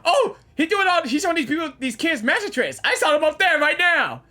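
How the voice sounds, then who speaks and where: A woman giving casual, excitable, exclamatory live reactions as a gaming video creator. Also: high voice, fairly quick.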